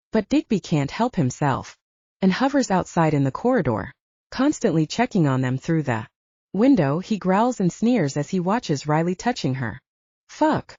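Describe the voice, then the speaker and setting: A woman reads dramatic audiobook fiction. Her voice is slightly low and mellow, with animated intonation. The recording is dry and a little dull. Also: no echo. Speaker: audiobook narrator. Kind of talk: scripted dramatic fiction narration.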